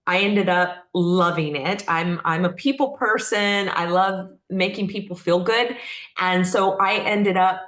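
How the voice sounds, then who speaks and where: Echoey, lowish-voiced middle-aged woman. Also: unhurried, a bit bright. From Texas, United States. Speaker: interview guest, company founder. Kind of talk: casual conversational storytelling. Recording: echoey room sound.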